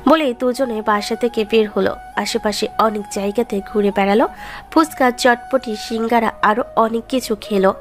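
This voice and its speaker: A woman's voice: on the high side, fairly even intonation, moderate pace, faint music behind. A woman narrating a scripted romantic story as its storyteller.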